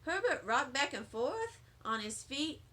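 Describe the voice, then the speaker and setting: Animated, high-pitched woman reading a storybook. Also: a little slow. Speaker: teacher reading aloud. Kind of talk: expressive children's storybook narration.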